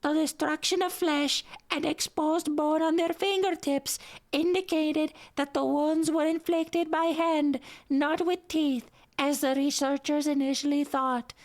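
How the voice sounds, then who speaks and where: A man voicing a tiny, giggly cartoon lemur with a squeaky voice, reading a horror story slowly and deliberately. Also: flat delivery. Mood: gleefully creepy. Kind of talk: creepy story narration in cartoon voice.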